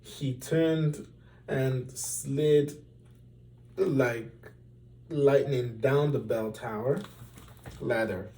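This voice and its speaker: Slow-reading man, slightly distant mic. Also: mid-range voice, steady tone, mellow, slight background noise. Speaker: storybook reader. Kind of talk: expressive children's story reading.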